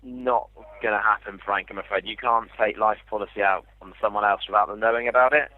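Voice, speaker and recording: A man's voice: lowish voice, steady tone, fairly quick. A man working as an insurance call handler, patiently explaining things over a tinny phone line. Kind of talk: customer service phone conversation.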